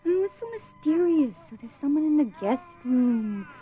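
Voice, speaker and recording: A teasing, skittish wife banters and protests in a high voice. Her delivery is lively and sarcastic, in naturalistic radio-play style with faint music. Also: normal speed, narrow, tinny audio. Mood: irritated. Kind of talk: naturalistic radio drama acting.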